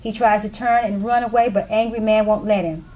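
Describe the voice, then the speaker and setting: A woman's voice: mid-range voice, steady tone, brisk, darkish tone, muffled recording. A woman narrating a play, reading the scripted stage directions aloud. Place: live readers theatre room. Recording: faint background noise.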